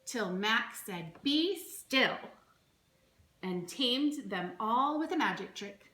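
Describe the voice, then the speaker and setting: Slow, bright woman reading a storybook. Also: medium pitch. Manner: expressive, deliberate, with exclaimed asides. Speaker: storybook reader for children. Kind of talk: dramatic children's picture-book reading. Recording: roomy echo, faint background noise.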